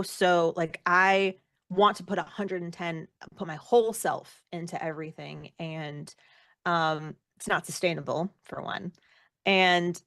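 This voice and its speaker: Low-pitched woman, candid and conversational. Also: fairly bright tone, dry recording, studio quality. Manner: candid, thinks aloud with many self-corrections. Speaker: interview guest, actor. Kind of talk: casual reflective interview answers.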